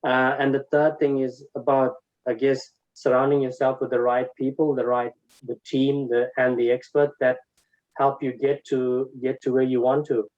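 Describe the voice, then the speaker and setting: A man on a video call, sharing feedback in a measured way with many fillers. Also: mid-range voice, little pitch movement, darkish tone. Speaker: property developer sharing takeaways. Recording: dull lo-fi call audio.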